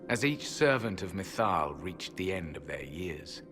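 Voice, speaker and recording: An actor playing a solemn, proud ancient elven guardian in a fantasy game, speaking gravely and formally with contempt. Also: male voice, low-pitched, big pitch swings, a little slow, over music. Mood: mournful. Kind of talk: dramatic fantasy game acting.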